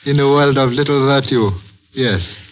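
An actor playing a courteous, guarded Chinese father in an old radio drama, speaking in formal, measured, cryptic phrases. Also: mid-range voice, natural voice. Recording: muffled old radio recording, faint noise.

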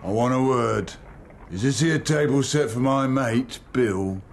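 An actor playing a sly, menacing pirate seaman in a radio drama, with a creaky voice and a falsely friendly, insinuating manner. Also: medium pitch, unhurried, a little hiss or hum, close to an everyday voice. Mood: wheedling.

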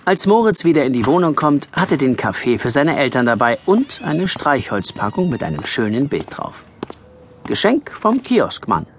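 A man narrating a scripted children's story with lively storytelling delivery. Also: mid-range voice, normal speed, slight background noise. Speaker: audiobook narrator.

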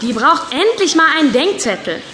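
A woman's voice: high voice, sing-song, normal speed, roomy sound, background noise. A woman playing a bossy, cheeky young schoolgirl in a children's audio drama, sassy and commanding. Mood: smug, teasing.

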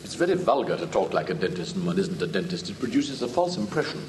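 An actor playing an indignant, earnest and evasive young English gentleman with an upper-class accent and lofty, clipped diction in a classic stage comedy. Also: sits low, sing-song, fast. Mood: haughty annoyance. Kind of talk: classic stage comedy audio drama, old-fashioned acting. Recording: old recording with faint hiss.

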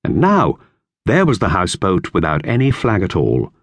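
A man narrating a classic children's novel in measured, expressive storytelling. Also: deep voice, dry recording. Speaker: audiobook narrator.

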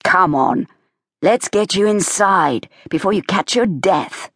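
A woman voicing a kind young male army officer who speaks in a gentle, caring way. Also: medium pitch, lively intonation, slow pace, dry recording, studio quality.

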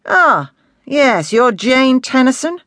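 A man voicing a brash, commanding police detective inspector in an audiobook, with a forceful, blunt delivery. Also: extremely high pitch, sing-song, slow, no echo, clean studio sound, pitched above the performer's own voice, heavily acted. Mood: brisk, persuasive. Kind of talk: audiobook character dialogue.

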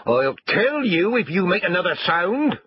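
Man acting a husky, blustering grumpy farmer. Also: medium pitch, expressive, unhurried, muffled recording. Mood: angry scolding. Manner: scolding rustic dialect phrasing. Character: grumpy, irascible male farmer, rustic and blustering. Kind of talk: animated storybook reading.

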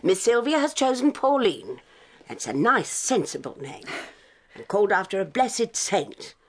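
An actress playing a plain-speaking, no-nonsense English nursery nanny in a radio drama, firm, homely and scolding. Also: female voice, mid-range voice, very animated, unhurried, breathy edge. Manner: firm, scolding, homely, respectful but stubborn. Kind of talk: full-cast radio dramatisation.

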